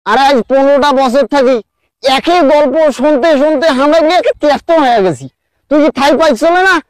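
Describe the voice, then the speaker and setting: A man's voice: very high voice, normal speed, no echo. A man doing a fed-up ranting villager. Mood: exasperated. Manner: rough, insulting, rants loudly.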